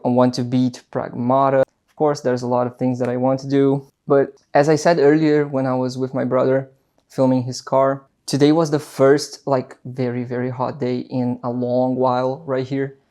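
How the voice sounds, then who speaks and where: A man's voice: medium pitch, completely flat delivery, normal speed, warm tone. A young Brazilian man with an accent, English not his first language, rambling casually to camera in an unscripted vlog, full of fillers and restarts. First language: Portuguese. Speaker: vlogger.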